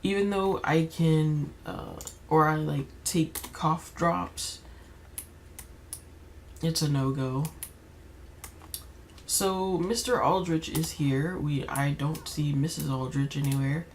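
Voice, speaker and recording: Slightly raspy woman rambling over gameplay. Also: low voice, fairly even intonation, slow pace, long pauses, slight background noise. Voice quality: slightly raspy, keeps clearing throat. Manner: rambling, many fillers and restarts. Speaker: gaming YouTuber. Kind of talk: casual gameplay commentary.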